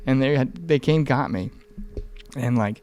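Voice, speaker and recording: Clear-voiced young man, candid podcast talk. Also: mid-range voice, unhurried, darkish tone, faint music behind. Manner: informal, profane, rambling and earnest. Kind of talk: casual, candid podcast conversation.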